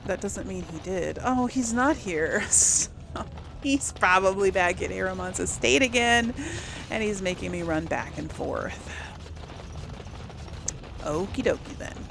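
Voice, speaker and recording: A woman's voice: medium pitch, lively intonation, unhurried, gravelly, long pauses, noisy background. A woman hosting a casual role-play gaming video, talking in an easygoing way to herself and her audience. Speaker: gaming host playing and commenting. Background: game music.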